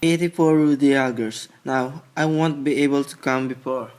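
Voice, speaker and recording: Actor as a brazen, mid-pitched young suitor. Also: male voice, fairly even intonation, normal speed, darkish tone, lightly acted. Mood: insistent, self-assured.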